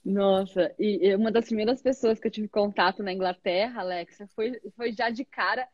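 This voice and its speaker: A woman's voice: mid-range voice, fairly even intonation, moderate pace, a little mellow, dry recording, muffled recording. A Brazilian woman with a Brazilian Portuguese accent, an English teacher chatting animatedly with a guest and using lots of tag questions. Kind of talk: casual conversation.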